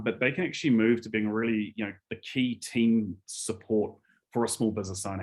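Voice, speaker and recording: A man with a New Zealand accent talking casually and earnestly as an interview guest, keen, often repeating himself as he finds his phrasing. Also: fairly low pitch. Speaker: business executive, interview guest.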